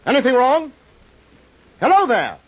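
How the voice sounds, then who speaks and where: An actor playing a polite, uneasy gentleman passenger in old-time radio horror style, with a courteous, earnest delivery. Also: extremely high pitch, huge pitch swings, a little slow, full character voice. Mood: alarmed, urgent. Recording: muffled vintage radio recording, faint noise.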